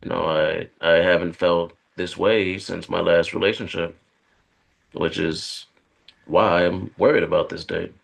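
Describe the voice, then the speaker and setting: An actor reading a script as an anxious, lonely, career-driven man who confides haltingly to a therapist. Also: low voice, fairly even intonation, gravelly, heavily acted. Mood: anxious, confiding. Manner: hesitant, halting, confessional. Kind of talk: dramatic script read.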